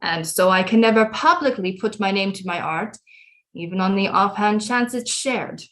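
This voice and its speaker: Woman doing a scheming french queen mother, medium pitch, formal and grand, audio drama acting. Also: expressive, fairly bright tone, lightly acted. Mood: imperious, earnest. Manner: grandiose, formal, deliberate period speech.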